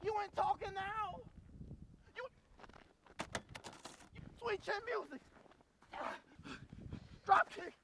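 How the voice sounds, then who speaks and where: A woman's voice: very high voice, steady tone, slow pace, long pauses, noisy background. A woman putting on a cocky trash-talking champ. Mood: taunting. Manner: boastful, swaggering trash talk.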